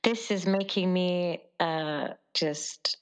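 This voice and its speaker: A woman's voice: lowish voice, slow pace, clear, resonant tone. A Canadian woman journalist talking as a guest in a casual interview, earnest and reflective, laughing often.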